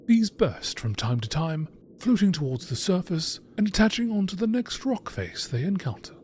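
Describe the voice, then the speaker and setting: A man reading scripted nature documentary narration in a measured, expository manner. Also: high voice, sing-song, a little mellow, slight background noise. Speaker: narrator reading game text.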